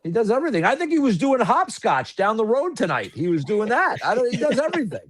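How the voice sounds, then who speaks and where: A man who calls hockey games, chatting casually on a podcast in a rambling, filler-heavy, animated way. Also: very high voice, fast. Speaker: hockey broadcaster guest.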